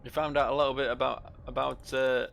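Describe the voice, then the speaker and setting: Dry, joking man with a creaky voice. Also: mid-range voice, little pitch movement, fairly quick, a bit bright. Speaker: video game player and host. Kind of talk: casual let's-play commentary, reading in-game journals aloud.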